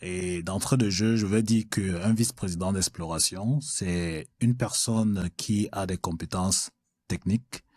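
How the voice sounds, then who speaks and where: A man from Cameroon, answering interview questions as a guest in a measured way with frequent filler sounds. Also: deep voice, darkish tone, no echo. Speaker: guest, mining executive.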